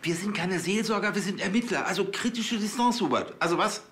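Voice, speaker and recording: An actor playing an officious, fussy police chief who stammers and lectures pedantically in a TV crime comedy. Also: male voice, high-pitched, animated, brisk, a bit bright. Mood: flustered, stern.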